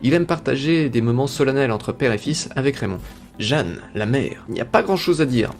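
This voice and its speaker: A man's voice: mid-range voice, expressive, brisk, soft background music. A man reading scripted narration for a video essay presenting cartoon characters.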